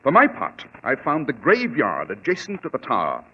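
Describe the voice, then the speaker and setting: An actor playing a brisk, assured gentleman detective with a British accent in a 1940s radio drama, speaking crisply and formally. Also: mid-range voice, huge pitch swings. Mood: brisk, pleased. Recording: muffled old radio recording, faint music.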